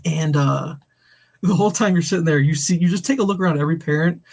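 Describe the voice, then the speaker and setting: A man's voice: fairly high pitch, quick pace, darkish tone. A man in his forties from St. Louis, co-hosting a podcast, telling rambling stories with plenty of laughter. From St. Louis, USA. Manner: rambling storyteller, laughs often, acts out quoted talk. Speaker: podcast co-host. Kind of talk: casual chat.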